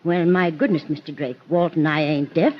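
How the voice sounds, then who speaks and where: A woman's voice: low voice, normal speed, lightly acted. A woman playing a gossipy, exclamatory small-town wife with a rural American accent in an old radio drama. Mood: indignant. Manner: exclamatory, indignant. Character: folksy, gossipy small-town married woman, easily flustered. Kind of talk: 1940s radio acting. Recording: dull, noisy vintage radio recording.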